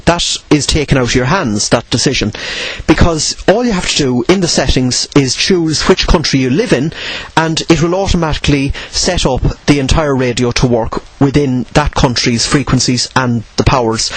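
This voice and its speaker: A man with a slightly husky voice giving an informal tutorial, explaining in a measured way and posing questions he then answers. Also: mid-range voice, dry recording, poor audio. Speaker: presenter of instructional radio videos.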